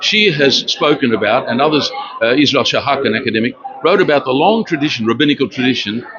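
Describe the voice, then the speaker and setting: An older man born in Romania, giving an earnest street interview at an outdoor protest, speaking haltingly with many fillers. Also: mid-range voice, expressive, crowd noise. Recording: dull lo-fi with loud outdoor noise.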